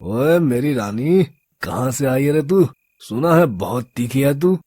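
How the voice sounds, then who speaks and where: A man's voice: mid-range voice, animated, a little slow, warm tone, no room sound. A man playing a drunk, lecherous local thug boss in a story, leering and drawling street slang. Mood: lewd, taunting. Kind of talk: Hindi audio story character acting.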